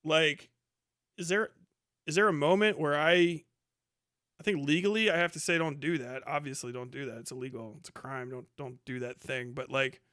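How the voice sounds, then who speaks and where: A man with a forceful, bright voice pitched a bit high talks off the cuff on a livestream. He swears freely, leans on slang and sarcasm, and animatedly acts out what imagined people would say. The sound is dry and close. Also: steady tone, moderate pace, smooth, no echo. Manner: profane, slangy. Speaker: live streamer. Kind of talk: casual livestream commentary reacting to chat.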